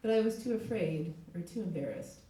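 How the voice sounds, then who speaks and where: A middle-aged woman, a literary translator, reading aloud at a live event, her voice hoarse with a cold. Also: fairly low pitch, lively intonation, a little fast, mellow. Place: echoey indoor event space. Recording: very echoey room, distant mic.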